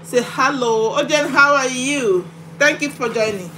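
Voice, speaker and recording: A woman chatting casually to her livestream viewers while unboxing, halting and repeating herself, recorded in a home kitchen. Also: high voice, bright, forceful tone. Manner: halting, repetitive, chatty delivery. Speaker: livestream vlogger. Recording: noisy home recording with clicks.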